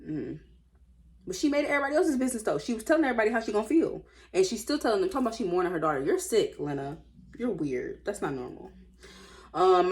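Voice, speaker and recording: An American woman casually reading stories aloud with informal, sassy and blunt running commentary. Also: lowish voice.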